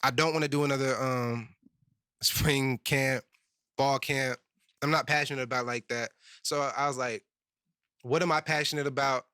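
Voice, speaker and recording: A man's voice: medium pitch, fairly even intonation, moderate pace, long pauses, pushed and bright, clean tone, no room sound, studio quality. A young man from Phoenix, a guest rapper, talking bluntly and emphatically in a casual podcast chat. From Phoenix, Arizona. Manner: blunt, emphatic, swears freely.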